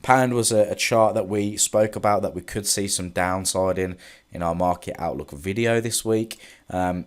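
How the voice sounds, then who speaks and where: A man with a cold and a congested voice, casually going over trading charts with frequent ums and restarts. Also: sits low. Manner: relaxed, hesitant, many ums and restarts. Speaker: forex trading analyst. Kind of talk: casual market recap with charts.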